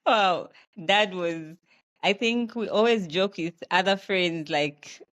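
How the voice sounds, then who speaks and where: Female interview guest, Zambian accent, slightly low register, conversational storyteller. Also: slow pace, a bit bright. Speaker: interview guest, health professional.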